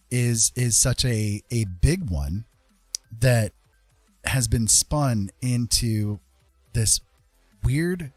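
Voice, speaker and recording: A man's voice: mid-range voice, darkish tone, husky, no room sound. A man hosting a casual livestream, talking in an earnest, emphatic monologue and repeating phrases for stress. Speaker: livestream host.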